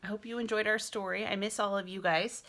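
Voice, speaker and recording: A woman's voice: medium pitch, steady tone, a little fast, fairly bright tone. A woman reading a children's story aloud as a school librarian, expressively and with small asides.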